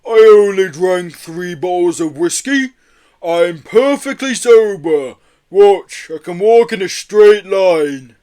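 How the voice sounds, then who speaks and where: A man voicing a big, brash, good-humoured female Yeti in a storybook, with a loud, slow drawl. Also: high-pitched, animated, voice pushed up. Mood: tipsy, protesting.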